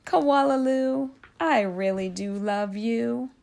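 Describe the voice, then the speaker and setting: Woman voicing a tender mother koala, slow and lilting. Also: on the high side, creaky, a little mellow. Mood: tender, loving. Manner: lilting, drawn-out, affectionate. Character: loving, busy mother koala, tender and affectionate.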